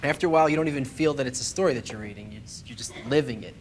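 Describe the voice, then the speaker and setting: A man's voice: mid-range voice, rapid-fire, slight background noise. A man giving an explanatory spiritual lesson in a conversational but scripted way, speaking directly to the listener and posing rhetorical questions. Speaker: lecturer. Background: background music.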